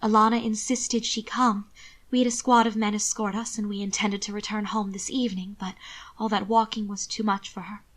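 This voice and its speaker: A woman reading aloud, voicing a worried young gentlewoman who speaks in a hushed whisper. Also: mid-range voice, flat delivery, a little fast, lightly acted.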